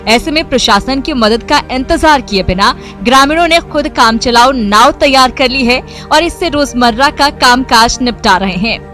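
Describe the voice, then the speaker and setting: A woman's voice: sits high, normal speed, hardly any pauses, bright, forceful tone, soft background music. A woman reading formal scripted news narration as a voice-over reporter.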